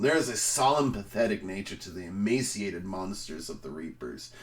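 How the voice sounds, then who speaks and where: A man speaks with theatrical flair, as a streamer performing for effect. His pitch swoops widely, and he leans hard on words with emphatic pauses. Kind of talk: dramatic reading of a film review.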